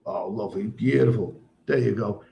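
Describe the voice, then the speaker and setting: A man's voice: fairly low pitch, moderate pace, gravelly, warm tone. A man hosting a casual livestream, reading viewer comments in a crude, rambling way and often tripping over his words. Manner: crude, rambling, stumbles and repeats himself. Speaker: live stream host.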